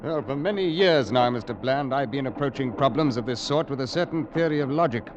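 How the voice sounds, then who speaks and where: A man's voice: medium pitch, lively intonation, muffled recording, background noise, lightly acted. Methodical police inspector, played by a man, old-time radio. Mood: resolute. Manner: measured, deliberate, logical. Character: methodical, calm male police inspector narrating his case. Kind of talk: 1940s-50s radio drama acting. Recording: vintage radio drama with music behind.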